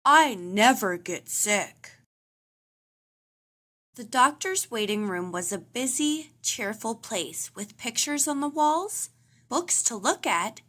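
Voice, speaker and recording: Animated, bright-voiced woman reading a storybook. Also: on the high side. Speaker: storybook reader. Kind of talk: expressive children's read-aloud narration.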